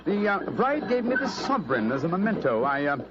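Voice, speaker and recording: Brisk, fast-talking British detective. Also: male voice, high-pitched, big pitch swings, heavy background noise. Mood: excited. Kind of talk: 1940s radio drama acting. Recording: dull vintage radio recording.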